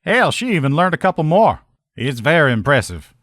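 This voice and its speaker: A man's voice: fairly high pitch, big pitch swings, brisk, a bit bright, no echo, studio quality. A man playing an upbeat, loyal army lieutenant and armor mechanic, with folksy, informal soldier talk, as video game dialogue. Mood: conversational.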